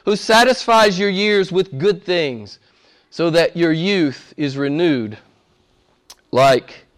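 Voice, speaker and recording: A man preaching a sermon with fervor, hoarse from a cold and losing his voice, dropping to a hush and rising to shouts. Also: high-pitched. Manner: fervent, swings from hushed to shouting. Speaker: pastor.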